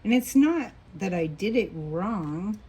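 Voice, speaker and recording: A woman teaching a quilting block as she sews, patient and thinking aloud, a little hoarse as she loses her voice. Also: mid-range voice, animated, slow, dark tone. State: losing her voice, coughing. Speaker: quilting instructor. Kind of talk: relaxed hands-on sewing tutorial.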